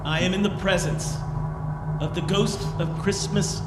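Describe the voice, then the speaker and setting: A man's voice: sits high, steady tone, measured pace. A man playing an earnest, fearful old Victorian miser, solemn and pleading, in a dramatic stage reading. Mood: fearful, respectful. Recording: echoey reverberant hall, music behind.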